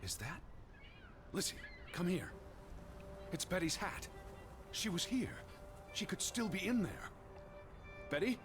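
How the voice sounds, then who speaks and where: An actor playing a cautious, earnest American gentleman naturalist of the 1920s in a video game, proper and reluctant in manner. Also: high voice, sing-song, slow pace, long pauses, music in the background, heavily acted. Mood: urgent, hopeful.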